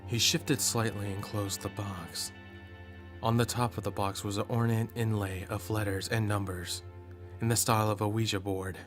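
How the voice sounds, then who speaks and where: A man narrating a scripted first-person horror story in a measured, dramatic manner. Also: on the low side, little pitch movement. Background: prominent atmospheric music.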